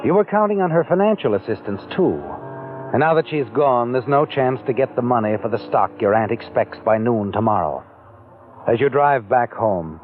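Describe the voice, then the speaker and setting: Male narrator, lively insinuating delivery, tinny old radio sound. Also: mid-range voice. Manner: insinuating, teasing questions to the listener. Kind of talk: second-person radio drama narration.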